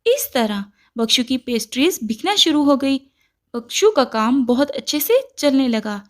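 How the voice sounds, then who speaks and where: High-pitched woman narrating a children's story. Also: very animated, a little slow.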